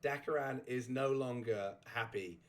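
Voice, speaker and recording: A man's voice: mid-range voice, a little slow. A man hosting a casual recap chat, rambling and chuckling as he restarts his sentences, his voice just recovering from a sore throat. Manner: rambling, chuckling, self-interrupting. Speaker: host.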